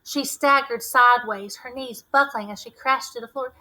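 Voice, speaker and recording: A woman reading a novel aloud as a teacher would, in expressive narration. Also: a little high, normal speed, rough recording. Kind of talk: expressive scripted audiobook narration.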